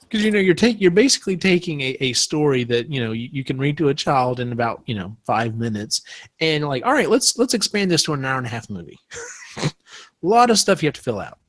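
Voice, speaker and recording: Casual man hosting, halting delivery, dry sound. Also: on the high side, very animated, dry recording. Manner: halting, rambling, feels for words. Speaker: podcast host. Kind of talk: casual panel chat.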